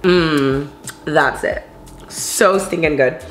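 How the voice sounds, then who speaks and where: A woman's voice: low-pitched, very slow. A young woman vlogging from her home kitchen, chatty and bubbly, calling viewers babes and honey. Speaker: lifestyle vlogger. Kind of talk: casual chat to camera. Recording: echoey kitchen, soft background music.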